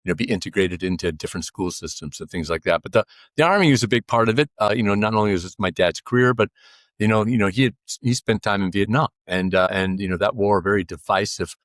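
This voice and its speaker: A man's voice: fairly low pitch, quick pace, no room sound, clean studio sound. A man in his sixties, a podcast guest, talking casually and rambling with many fillers and restarts. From United States. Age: 60s. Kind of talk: casual interview conversation.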